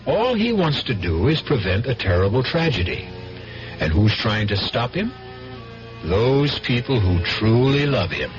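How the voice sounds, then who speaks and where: A man hosting and narrating a 1970s radio mystery with deliberate, ominous delivery and dramatic pauses. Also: mid-range voice, sing-song. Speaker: host and narrator. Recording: muffled 1970s radio broadcast. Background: music.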